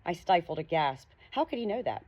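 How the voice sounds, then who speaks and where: Woman reading a novel out loud, lowish voice, dramatic first person audiobook. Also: very animated, a little fast, dull audio, a little hiss or hum. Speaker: audiobook narrator.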